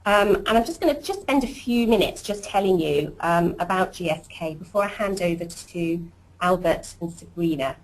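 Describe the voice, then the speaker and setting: A woman hosting a corporate webinar as programme director, presenting warmly and chattily with frequent ums and uhs. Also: on the low side, normal speed, poor audio.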